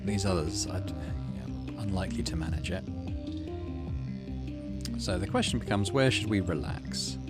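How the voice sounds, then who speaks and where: A man's voice: deep voice, a little slow, pauses often, loud music behind the voice. A man doing let's play commentary, reading story text aloud and muttering through his decisions as he thinks aloud. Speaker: game streamer, let's play host.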